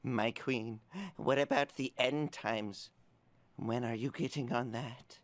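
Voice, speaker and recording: A man's voice: medium pitch, steady tone, slow, no echo, natural voice. Man playing a solemn servant witch. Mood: impatient. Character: solemn, servile witch attending a demon queen. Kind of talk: comic-book voice acting.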